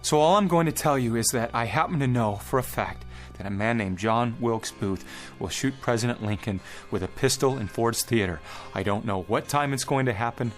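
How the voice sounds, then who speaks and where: An actor in a radio drama playing a desperate, pleading time traveler with a halting, urgent delivery. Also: male voice, mid-range voice, close to an everyday voice. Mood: uneasy, faint. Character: desperate, pleading male time traveler trying to prevent an assassination. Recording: background music under radio play.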